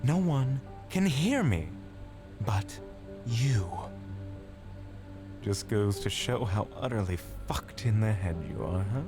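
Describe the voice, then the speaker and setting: A man's voice: lowish voice, animated, slow pace, pauses often, over music. A man voicing a malevolent inner demon in an audio drama, sinister and mocking as he goads his victim. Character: malevolent taunting male inner demon, a hallucination. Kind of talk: audio drama villain dialogue.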